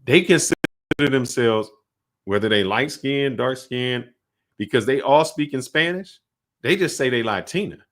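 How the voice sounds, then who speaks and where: A man's voice: mid-range voice, expressive, unhurried, a bit bright. A man co-hosting a podcast, explaining his views at length in loose, casual speech. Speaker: podcast co-host. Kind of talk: casual chat.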